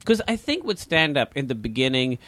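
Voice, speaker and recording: A man's voice: mid-range voice, very animated, fairly quick, a bit bright. A man in his thirties with a Pakistani accent, a comedian chatting as a podcast guest, rambling with many fillers and restarts. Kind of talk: casual interview chat.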